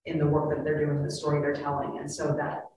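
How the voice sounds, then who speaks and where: A speaker on a panel praising the guests' care, in a measured way with frequent fillers. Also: medium pitch, completely flat delivery, noisy background. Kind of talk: panel discussion. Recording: echoey room, far from mic.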